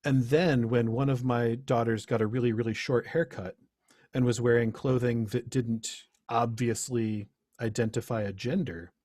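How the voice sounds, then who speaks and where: A man's voice: medium pitch, fairly even intonation, normal speed, a little mellow, no room sound, clean studio sound. A middle-aged man giving an informal workshop presentation in an earnest, reflective manner. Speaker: presenter, teacher.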